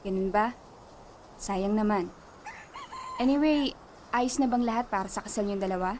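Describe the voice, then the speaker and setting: A woman's voice: mid-range voice, normal speed, darkish tone, a little hiss or hum, lightly acted. A woman with a Filipino accent playing a kindly, composed middle-aged mother in a radio drama, speaking politely. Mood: friendly.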